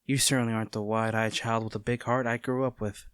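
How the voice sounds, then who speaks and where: A man playing a smug, taunting agent facing a former childhood friend, speaking in a measured, theatrical way in a scripted audio roleplay. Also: medium pitch, flat delivery, fairly bright tone, clean tone, dry recording, clean studio sound, close to an everyday voice. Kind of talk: dramatic scripted roleplay monologue.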